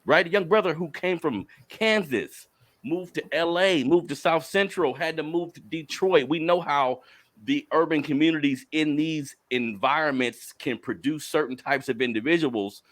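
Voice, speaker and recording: A man's voice: on the high side, normal speed, a bit bright. Hyped young man hosting a podcast. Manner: hyped, calls listeners family, slangy. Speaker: podcast host. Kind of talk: energetic podcast intro and interview.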